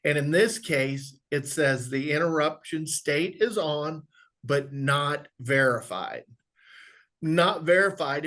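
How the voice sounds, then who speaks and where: A man presenting an instructional software walkthrough, explaining step by step in a conversational way. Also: fairly high pitch. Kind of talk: instructional screen walkthrough, informal webinar.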